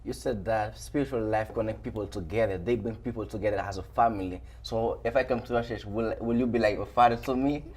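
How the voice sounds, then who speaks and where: A teenage young man interviewing a pastor, asking halting questions and rephrasing them as he goes. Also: fairly low pitch, a little mellow.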